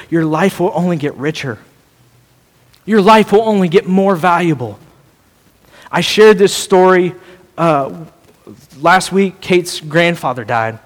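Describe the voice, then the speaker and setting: Man preaching, high voice, animated, acts out playful voices, long hall reverb. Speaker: pastor. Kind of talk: sermon.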